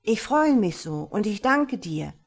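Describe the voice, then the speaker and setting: A woman's voice: mid-range voice, lively intonation, unhurried, a little mellow. A woman voicing an innocent, curious little boy in a storybook, with a pleading, childlike way of asking. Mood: eager, excited. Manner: pleading, wide-eyed, childlike questioning. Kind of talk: storybook character voice.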